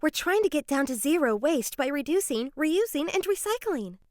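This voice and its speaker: Woman voicing a bossy, high-pitched schoolgirl eco-crusader. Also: very animated, moderate pace, no echo, clean studio sound. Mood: earnest, insistent.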